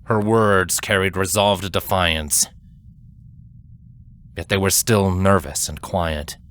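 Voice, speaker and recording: A man reading scripted dramatic fiction as a narrator, in a measured, solemn storytelling manner. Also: low-pitched, fairly even intonation, a bit bright, a little hiss or hum.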